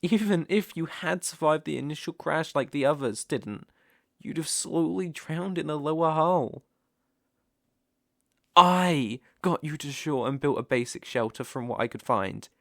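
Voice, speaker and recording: A man acts out a possessive, lovesick stalker with a British accent in an intimate audio roleplay. His speech is slow and coaxing, with a creaky edge, often sinking toward a whisper. The sound is close and dry. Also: a little high, fairly bright tone, no room sound. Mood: soothing, possessive. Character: obsessive, tender yandere stalker, softly possessive man. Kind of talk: intimate ASMR roleplay acting.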